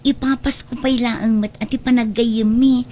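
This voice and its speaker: A woman's voice: fairly high pitch, fairly even intonation, moderate pace, a little mellow, slight background noise, lightly acted. A woman playing an affectionate grown daughter in a radio drama, pleading and coaxing like a playful matchmaker. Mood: apologetic, earnest.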